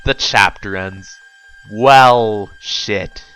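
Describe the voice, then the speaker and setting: A man's voice: lowish voice, extremely slow, fairly bright tone, clear, resonant tone, faint music behind. A man giving a theatrical, emphatic dramatic reading of a comedic story. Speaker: narrator reading a book aloud.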